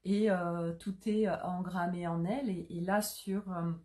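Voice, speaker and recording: A French-speaking woman hosts a spiritual livestream, her voice mellow and a little low. She talks softly and haltingly, with constant fillers and restarts that give it an unhurried, searching feel. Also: fairly even intonation. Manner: soft, hesitant, many fillers and restarts. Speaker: holistic coach. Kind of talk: live-streamed spiritual talk.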